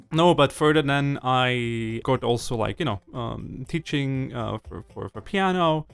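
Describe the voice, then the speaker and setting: Austrian composer speaking in a smooth, dry voice. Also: male voice, mid-range voice, a little slow, no echo. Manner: hesitant, many fillers, self-deprecating laughs. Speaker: guest composer. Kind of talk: casual interview chat.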